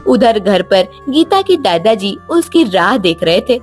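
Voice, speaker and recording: A woman's voice: mid-range voice, sing-song, measured pace, darkish tone, faint music behind. A woman narrating a scripted children's story as a storyteller.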